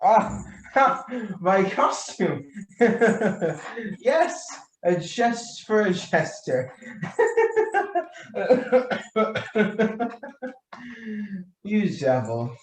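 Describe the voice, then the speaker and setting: A man acting a tipsy, jovial, foolish nobleman in a radio play, slurred and halting with giggly rambling. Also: sits high, very animated, heavily acted. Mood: giggly, drunk. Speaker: student actor in a radio play. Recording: echoey room recording.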